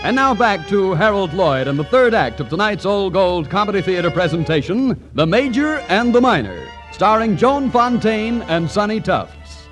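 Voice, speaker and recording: A man's voice: sits high, very animated, normal speed, few pauses, music in the background. A man reading a radio commercial as an announcer in polished 1940s advertising style. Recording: 1940s radio broadcast recording.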